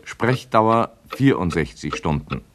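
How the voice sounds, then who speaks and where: An actor playing an impersonal timekeeper in a radio play, making formal, terse, measured announcements. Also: male voice, deep voice, expressive, noisy background. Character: impersonal male timekeeper announcing a record attempt. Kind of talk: clipped radio drama announcement.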